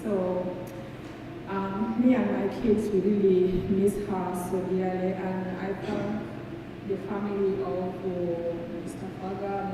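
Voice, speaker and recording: A woman from Uganda with a Ugandan accent, giving a halting, informal eulogy in a church hall. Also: medium pitch. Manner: halting, searching for words. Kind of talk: eulogy, informal personal tribute. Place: church hall, speaking to a gathering. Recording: echoey hall from far off, noisy.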